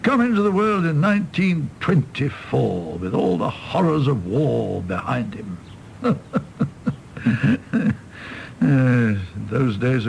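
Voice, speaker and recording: A man acting a tipsy, bitter, apologetic middle-aged British doctor in a radio drama, rambling and halting in a gravelly voice. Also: fairly high pitch, a little mellow, a little hiss or hum, full character voice, poor audio. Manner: rambling, halting, swinging from ranting to meek.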